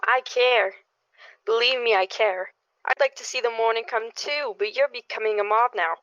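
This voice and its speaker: Woman acting an earnest, high-voiced suburban neighbor. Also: moderate pace, a bit bright, dry recording, natural voice. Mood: pleading, reproachful. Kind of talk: radio play acting. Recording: dull lo-fi audio.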